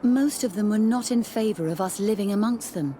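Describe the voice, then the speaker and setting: A woman playing a busy, formal religious scholar in charge, brisk and composed, in a video game. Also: mid-range voice, dark tone, slight background noise, natural voice. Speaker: supervisor of theologians.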